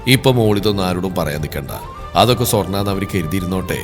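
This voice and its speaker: A man voicing a scheming father who talks in a low, offhand, dismissive way. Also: lively intonation, fast talker. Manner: casual, dismissive. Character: smooth-talking scheming father on the phone. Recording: long reverb, faint music behind.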